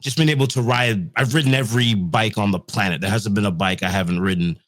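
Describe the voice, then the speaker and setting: A man from England with a low voice, rambling loosely as a podcast guest and often restarting his sentences. The sound is dry and close. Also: quick pace, fairly bright tone, dry recording. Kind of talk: casual podcast chat.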